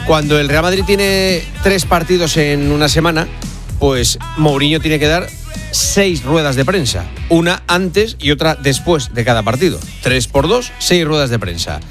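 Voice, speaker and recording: A man's voice: on the high side, animated, measured pace, fairly bright tone. A man presenting a scripted sports radio intro. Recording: light guitar music underneath.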